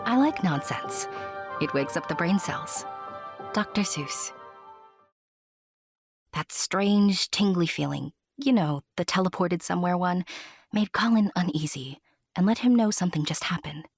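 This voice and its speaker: A woman's voice: fairly low pitch, lively intonation, measured pace, long pauses, husky. A woman narrating a scripted fantasy audio drama like a storybook, with chatty asides to the listener. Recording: loud music under the narration. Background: music.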